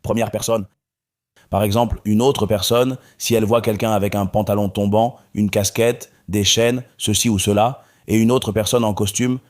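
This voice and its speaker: A man from Morocco, a guest in an interview, speaking calmly and often repeating his phrases. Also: fairly low pitch. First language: Arabic. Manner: calm, repeats himself. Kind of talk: interview conversation.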